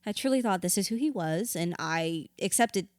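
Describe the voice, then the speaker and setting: Actress as grieving widow for therapy audio drama: lower female register, reflective, candid, wry, dry studio sound. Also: expressive, normal speed, no room sound, close to an everyday voice. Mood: pensive. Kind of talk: audio drama acting, conversational.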